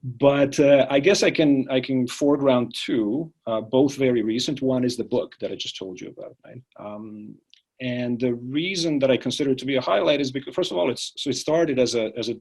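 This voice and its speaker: A man's voice: mid-range voice, fairly quick, a little mellow. A man from Croatia, an academic answering interview questions thoughtfully, with many fillers and restarts. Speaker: interviewee, political science academic.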